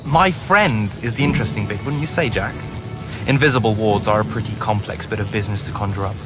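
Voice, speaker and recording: A man's voice: fairly low pitch, fast talker, loud music behind the voice, close to an everyday voice. A man playing a pompous, verbose and sarcastic battle mage in a video game cutscene. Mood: wry, airy. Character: glib, pompous, witty male battle mage.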